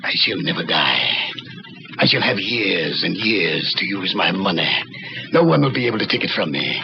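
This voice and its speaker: Deep, gravelly, obsessed mad doctor. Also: male voice, very animated, moderate pace, full character voice. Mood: fanatical, defiant. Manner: intense, ranting, defensive. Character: obsessed old mad doctor craving eternal life. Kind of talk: old-time radio horror acting. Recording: muffled, very noisy old radio recording.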